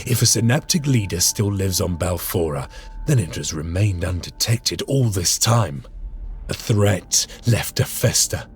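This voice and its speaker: An actor playing a grim veteran space marine sergeant who narrates, solemn and measured with old-fashioned phrasing. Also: male voice, deep voice, slightly rough, heavily acted. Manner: solemn, measured, archaic speech. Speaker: narrator and squad leader. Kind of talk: game cutscene voice acting. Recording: with score music behind.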